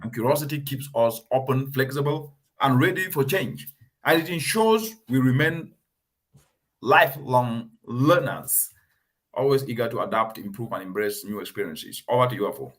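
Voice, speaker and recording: A man hosting a talk show, speaking in an earnest, emphatic and measured way. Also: medium pitch, lively intonation, a bit bright. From Cameroon. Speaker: host.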